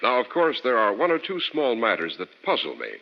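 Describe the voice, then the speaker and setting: A man's voice: mid-range voice, huge pitch swings, a little fast. An American man announcing on 1940s radio, reading scripted copy with a polished, emphatic salesman's delivery. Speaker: radio announcer and sponsor's spokesman. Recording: muffled, reverberant old radio broadcast.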